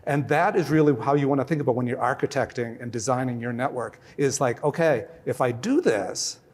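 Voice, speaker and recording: Quick, casual man explaining on a panel. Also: medium pitch, darkish tone. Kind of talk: conference panel discussion.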